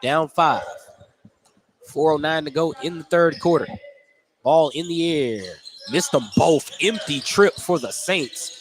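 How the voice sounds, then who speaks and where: A man's voice: a little high, a little slow. A man calling live basketball play-by-play for radio, in clipped phrases with detailed descriptions of the action. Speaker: play-by-play announcer. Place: indoor basketball gym during a game. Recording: noisy gym ambience, bouncing ball. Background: gym noise and basketball bounces.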